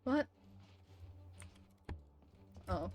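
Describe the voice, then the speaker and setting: A woman chatting casually on a live game stream, making short, informal, reactive remarks. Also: very slow. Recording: music behind the voice.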